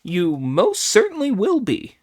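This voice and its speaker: A man's voice: high voice, measured pace, pitched above the performer's own voice, exaggerated voice. A man reading an audiobook, voicing a cheeky, boastful male monkey king who bosses the others around. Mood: bossy. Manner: boastful, commanding, excitable.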